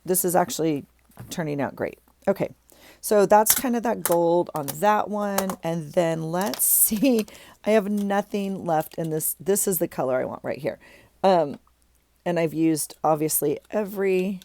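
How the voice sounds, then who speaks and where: A woman's voice: fairly low pitch, dark tone, no room sound. A middle-aged woman with a stuffy nose, chatting casually as she works on a craft project, thinking aloud with many ums and restarts. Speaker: craft vlogger.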